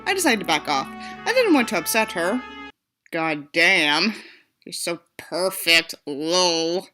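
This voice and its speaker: Bright, sarcastic woman reading an audiobook. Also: on the low side, very animated, takes it slowly, faint music behind. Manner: sarcastic, sweary, theatrical. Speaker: audiobook reader and commentator. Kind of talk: scripted narration with sarcastic asides.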